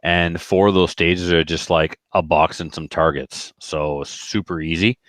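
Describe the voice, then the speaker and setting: Man, deep-voiced, casual podcast talker, colloquial, rambling, dry recording. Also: steady tone, a little slow, a bit bright, dry recording. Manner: rambling, colloquial, with acted-out quotes. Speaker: podcast speaker who organizes shooting matches.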